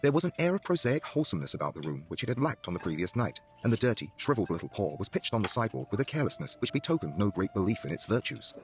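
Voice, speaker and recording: A man's voice: lowish voice, very animated, racing, hardly any pauses, soft background music. A man narrating a horror story in a dramatic, scripted audiobook style.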